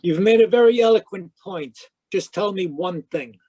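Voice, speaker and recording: Guy doing wry hard-boiled radio detective, voice on the higher side, close dry sound. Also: normal speed, mellow, breathy edge, no echo, muffled recording. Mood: pressing. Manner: wry, matter-of-fact storytelling. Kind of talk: old-time radio detective narration and dialogue. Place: close dry sound, remote live performance.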